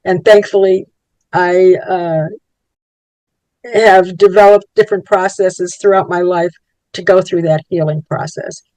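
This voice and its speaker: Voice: medium pitch, fairly even intonation, unhurried, a little mellow, dry recording, rough recording. A person in their eighties, Yiddish-speaking from birth, thoughtfully recalling a childhood as a Holocaust survivor. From Poland. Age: 80s. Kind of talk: personal recollection for a documentary.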